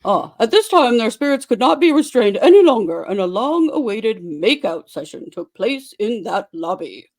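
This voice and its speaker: A performer playing a mock romance-novel author, giving a theatrical, deliberate, tongue-in-cheek dramatic reading. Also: medium pitch, very animated, a little mellow. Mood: mock romantic. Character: Fabio Toadface, mock romance-novel author alter ego.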